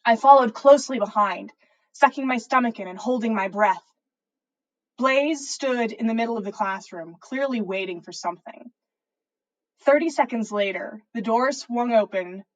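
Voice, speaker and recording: Expressive woman reading a story aloud. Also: a little high, moderate pace, highs cut off. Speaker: podcast host reading listener fan fiction.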